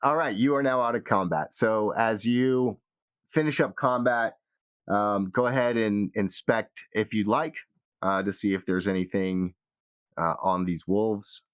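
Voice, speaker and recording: Slow, low-voiced man as game master. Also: clean tone. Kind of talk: narrating a tabletop fantasy game.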